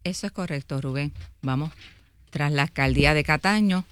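A woman's voice: sits low, moderate pace, smooth. A woman in her fifties with a Puerto Rican accent, a candidate speaking earnestly in a radio interview, often adding a questioning filler. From Guaynabo, Puerto Rico. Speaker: political candidate and interview guest.

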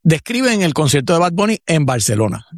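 A man speaking Spanish with a Puerto Rican accent, his voice sitting a little high. He muses aloud as a talk-show commentator, circling back with fillers and restarts. The sound is dry and close. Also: sing-song, fairly quick, dry recording. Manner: reflective, digressive, many restarts and fillers. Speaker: radio co-host, commentator; professor.

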